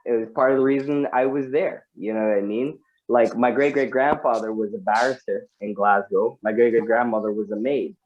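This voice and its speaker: A man's voice: medium pitch, steady tone, brisk, hardly any pauses, darkish tone, dull audio. A man from Guyana doing a stand-up comedy set over a video call, chatty and full of asides to the audience.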